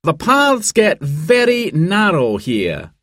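Guy doing an upbeat mountain guide for a storybook, raised pitch, slow and emphatic. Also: huge pitch swings, a bit bright, dry recording, studio quality, heavily acted. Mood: upbeat, instructive. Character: upbeat male mountain guide briefing hotel guests.

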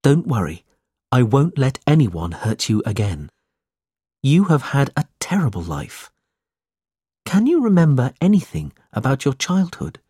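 A man's voice: medium pitch, wildly swooping pitch, unhurried, warm tone, husky, no room sound, studio quality, pitched above the performer's own voice, full character voice. A man voicing a brave, protective young man in a storybook, speaking earnestly and with defiance.

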